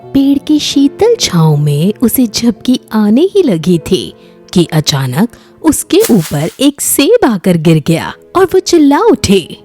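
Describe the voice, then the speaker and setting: Female storyteller for children's tales: mellow, unhurried, expressive and dramatic narration. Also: mid-range voice, soft background music.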